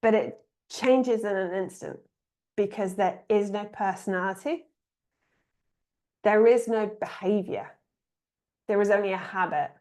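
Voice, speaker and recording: A woman with an English accent, giving a reflective spiritual talk in a calm, musing way, pausing often. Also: medium pitch. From England. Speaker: spiritual teacher.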